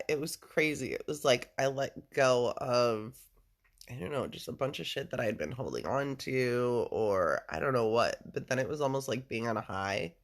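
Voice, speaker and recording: A man with a deep voice chatting casually and at length to a listener, rambling, full of fillers and casual swearing. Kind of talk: casual personal chat with a listener.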